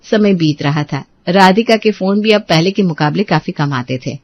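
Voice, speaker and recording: A woman's voice: on the low side, moderate pace, no echo. Woman storyteller, dry muffled track. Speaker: narrator. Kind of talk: scripted story narration.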